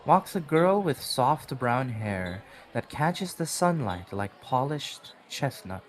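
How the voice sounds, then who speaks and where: A man narrates a dark audio drama at a very slow, deliberate pace. His pitch moves expressively as he paints each scene with dramatic weight. Music plays softly behind his voice. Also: low-quality recording. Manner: measured, dramatic storytelling delivery. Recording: background music under the voice.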